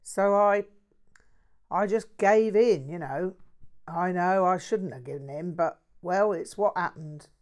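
A woman's voice: fairly low pitch, slow pace, a little mellow. A woman voicing a crude bloke. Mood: ranting. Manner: swearing, slangy, ranting. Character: crude brash working-class London man.

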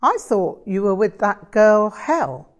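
A frank, sociable woman from a novel , put on by a woman narrator. She is inquisitive and teasing with firm opinions, her pitch a little raised over the narration. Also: big pitch swings, slow. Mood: curious. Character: Cynthia, sociable woman friend, frank, curious, amused.

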